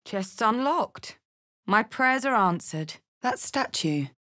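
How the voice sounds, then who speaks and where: Fantasy game role: tough female adventurer, soulful but gruff, delivering blunt, clipped remarks and incantations. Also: fairly high pitch, animated, slow, fairly bright tone. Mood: somber. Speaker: video game character actor. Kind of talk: fantasy video game voice lines.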